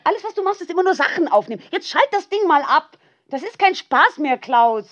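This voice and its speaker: A woman's voice: very high-pitched, sing-song, fairly quick, fairly bright tone, full character voice. An actress playing a combative female partner with radical views in naturalistic radio drama, insistent and challenging with pointed questions. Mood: exasperated, angry. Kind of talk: naturalistic radio play dialogue. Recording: dull, lo-fi home tape sound.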